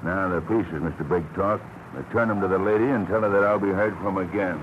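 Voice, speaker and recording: An actor playing a menacing, smug fat villain in a classic radio crime drama, sneering and deliberate. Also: male voice, low-pitched, steady tone, close to an everyday voice. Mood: smug threat. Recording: noisy, muffled vintage radio recording.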